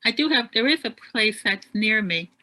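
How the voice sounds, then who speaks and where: A woman's voice: lively intonation, moderate pace, bright, forceful tone, rough recording. A woman attending a workshop, asking plain, somewhat hesitant questions. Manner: says she has a high voice.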